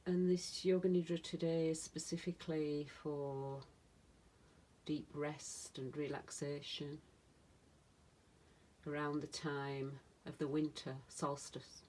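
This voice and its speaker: A woman meditation teacher leading a guided relaxation in a soothing manner, speaking in short phrases with long pauses. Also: deep voice, little pitch movement, dark tone, a little hiss or hum, low-quality recording. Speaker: yoga nidra meditation teacher.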